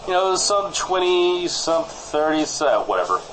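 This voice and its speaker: A man hosting a comedy show, trading improvised mock news banter in a deadpan manner. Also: a little high, a little slow, soft background music, low-quality recording.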